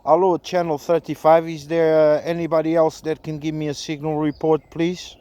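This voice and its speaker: A man making halting, repetitive radio calls and casual commentary during an outdoor antenna test. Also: on the high side, little pitch movement, warm tone, clean tone. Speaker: amateur CB radio operator testing an antenna. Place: outdoors in a park.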